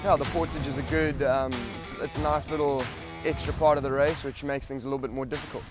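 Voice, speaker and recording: A man's voice: medium pitch, little pitch movement, fast talker. A young man, an athlete, giving a casual interview answer outdoors. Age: young adult. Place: outdoors by a lake. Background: background music.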